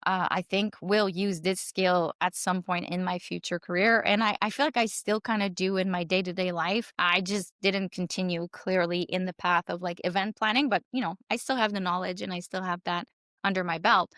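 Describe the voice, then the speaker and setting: A woman's voice: lowish voice, fairly even intonation, normal speed, fairly bright tone, no room sound, studio quality. A woman in her forties from Canada with a French Canadian accent, a native French speaker chatting as a guest in an interview, rambling with many fillers. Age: 40s. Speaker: guest, circus performer and realtor. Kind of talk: casual interview chat.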